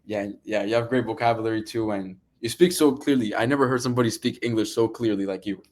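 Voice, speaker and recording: Quiet young man hosting hesitantly. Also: fairly low pitch, fast. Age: teenager or young adult. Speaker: podcast host, student. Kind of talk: casual podcast interview.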